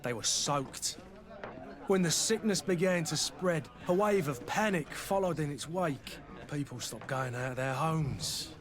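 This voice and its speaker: Higher-voiced, folksy fantasy tavern keeper. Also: male voice, expressive, unhurried, crowd noise. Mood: somber, confiding. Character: talkative, world-weary male tavern keeper in fantasy game. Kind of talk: video game dialogue acting.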